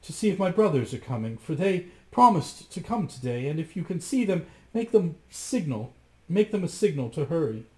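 Frightened young wife, voiced by a man: high pleading calls, slow, storybook, echoey room. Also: lively intonation, a little mellow.

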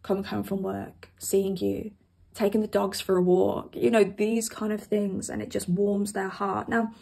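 A woman with a croaky voice left over from a cold, chatting to viewers as she gives a pick-a-card tarot reading at a table. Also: medium pitch, flat delivery, a little slow, mellow. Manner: chatty, addresses viewers directly. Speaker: tarot reader. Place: indoors at a table with cards and candles.

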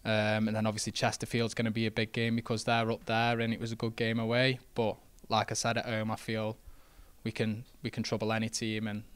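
Flat-voiced young footballer. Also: male voice, fairly low pitch, a little fast, clear, resonant tone. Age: young adult. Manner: relaxed, modest, many fillers and restarts. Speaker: footballer after a match. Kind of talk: post-match interview. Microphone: handheld interview mic.

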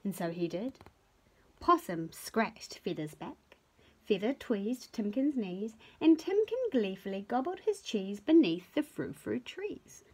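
A middle-aged woman reads a children's story expressively, with playful drawn-out emphasis and hushed moments. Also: mid-range voice, very animated, husky. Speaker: picture-book reader. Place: small furnished room at home.

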